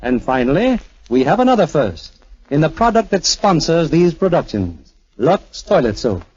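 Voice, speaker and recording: A man's voice: a little high, big pitch swings, moderate pace, a little mellow. A man hosting a 1940s radio theater, reading scripted introductions and narration in a polished, formal, stately way. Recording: dull vintage 1940s radio recording.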